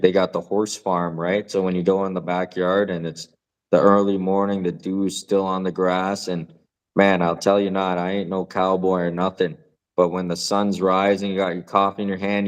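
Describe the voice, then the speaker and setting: A man with a very deep voice co-hosts a podcast in easy, rambling conversation. He swears casually and laughs a lot as he talks. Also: flat delivery, moderate pace, clear, resonant tone. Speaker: podcast co-host. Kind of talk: casual chat.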